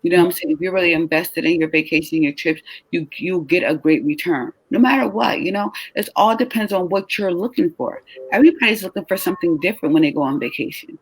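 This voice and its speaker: A woman who is tired and short on sleep, raspy-voiced, chatting casually and lively as a travel business host. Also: lowish voice, fast, few pauses, dry recording, low-quality recording. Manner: lively, talks with her hands in her voice, leaning on questions to the listener. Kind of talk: casual chat.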